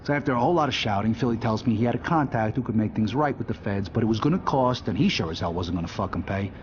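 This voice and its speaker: Low-voiced, world-weary American mobster played by an actor. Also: male voice, lively intonation, quick pace, dull audio, background noise, lightly acted. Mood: wry, matter-of-fact. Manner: tough-talking, casual, profane. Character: world-weary wisecracking mobster enforcer recalling his crimes. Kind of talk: hardboiled crime narration.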